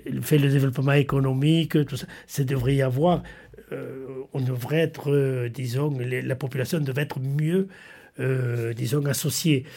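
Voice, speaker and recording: A man's voice: mid-range voice, gravelly, warm tone. A man, a mayor answering in an interview, hesitant and searching for his words. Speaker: town mayor. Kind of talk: spoken interview.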